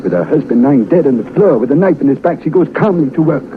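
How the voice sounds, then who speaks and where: An actor playing a ruthless, cynical anti-Nazi agent in a 1940s radio drama, speaking in suave, formal, slightly stilted phrases. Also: male voice, on the high side, lively intonation, fast talker, close to an everyday voice. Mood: worldly, insinuating. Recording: scratchy, noisy, narrow-band old radio recording.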